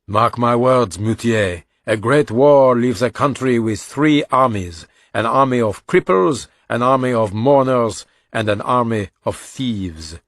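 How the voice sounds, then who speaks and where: A gloomy old brigadier in an audiobook, acted out by a man. He declaims solemnly in a lifted, forceful voice, like a grim prophecy. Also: mid-range voice, animated, unhurried, few pauses, dry recording, clean studio sound, pitched above the performer's own voice. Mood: solemn warning. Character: lugubrious old one-armed brigadier, grimly prophetic.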